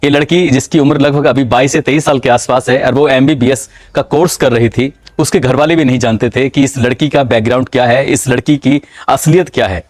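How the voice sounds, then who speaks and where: A man narrating a true-crime story in a measured, dramatic storytelling manner. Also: mid-range voice, steady tone, dry recording.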